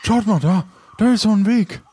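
A man with a fairly high voice plays along in an improvised audio adventure. He stammers and hesitates as he goes, over clearly audible ambient noise. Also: moderate pace, warm tone.

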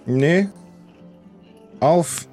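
A man's voice: fairly high pitch, very slow, dark tone, faint music behind. A man playing along in an improvised audio adventure, speaking casually in character. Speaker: player in an improvised audio play. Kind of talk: casual improvised role-play.

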